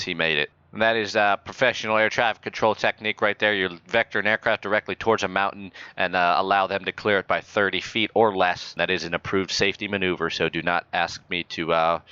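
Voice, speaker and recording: A man speaking as a mock-official air traffic controller and announcer, improvising in a deadpan manner. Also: low voice, fairly quick, hardly any pauses, clear, resonant tone, no echo, dull audio. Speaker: flight simulator player acting as air traffic controller and airshow announcer. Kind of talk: improvised radio talk and mock commentary.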